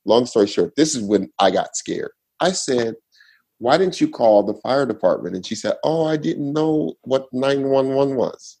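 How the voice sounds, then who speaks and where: A man's voice: medium pitch, expressive, normal speed, darkish tone, husky. A man telling a long personal story in a casual podcast chat, laid-back and rambling with restarts. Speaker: guest storyteller.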